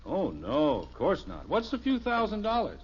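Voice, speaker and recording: A man's voice: high voice, huge pitch swings, normal speed, husky, exaggerated voice. An actor playing a genial, easily exasperated middle-aged suburban father in a 1950s radio sitcom, folksy and dryly sarcastic. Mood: dry sarcasm. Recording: dull old radio recording, faint hiss.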